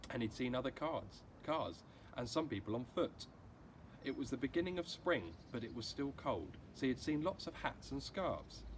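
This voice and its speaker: Animated male storybook reader, noisy recording. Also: medium pitch, moderate pace. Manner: expressive, acting out characters' dialogue. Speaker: teacher reading aloud to pupils. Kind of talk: storybook read-aloud. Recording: clearly noisy amateur recording.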